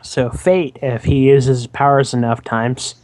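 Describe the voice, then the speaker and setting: A man giving casual let's play commentary in a halting, rambling way, often stammering. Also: mid-range voice, steady tone, darkish tone, no room sound. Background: video game music.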